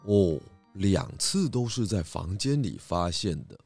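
A man's voice: low voice, animated, measured pace. A man playing a courteous, inquisitive pig detective in a children's audio mystery drama. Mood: polite, inquisitive. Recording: music behind the voice.

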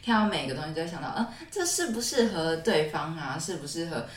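A woman's voice: low voice, animated, brisk. A young woman with a Taiwanese Mandarin accent co-hosting a podcast in casual conversation, recorded with a roomy echo. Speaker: podcast co-host.

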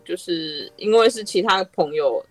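A woman's voice: on the low side, measured pace, no room sound, poor audio. A woman with a Taiwanese accent hosting a podcast in a casual, conversational interview style. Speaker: podcast host.

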